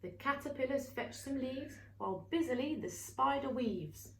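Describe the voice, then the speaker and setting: A woman reading a children's bedtime story aloud in an expressive, theatrical way with emphatic exclamations. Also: high voice, normal speed. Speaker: story reader for a family centre. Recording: roomy, distant mic, faint noise.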